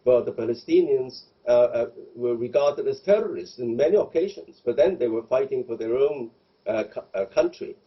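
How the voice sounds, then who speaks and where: Husky man, halting debate guest. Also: mid-range voice, animated, muffled recording. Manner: halting, measured explanation. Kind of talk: explanatory debate commentary.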